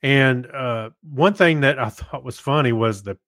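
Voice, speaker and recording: A male podcast co-host talks casually in a mid-range voice. His delivery is informal and a bit halting, and the sound is dry, with no room echo. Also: mid-range voice, fairly bright tone.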